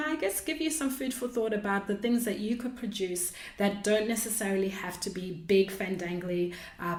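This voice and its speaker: A woman hosting a podcast, talking conversationally in an earnest, reflective and expressive way, both narrating and interviewing. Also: mid-range voice. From Australia, lived in Perth before Melbourne. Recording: roomy, echoing sound.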